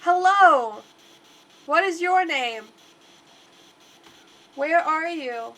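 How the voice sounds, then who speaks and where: A woman's voice: very high voice, lively intonation, very slow, sharp tone. A congested woman livestreaming, chatting casually and rambling through gameplay commentary. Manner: chatty and rambling, talks to the game aloud.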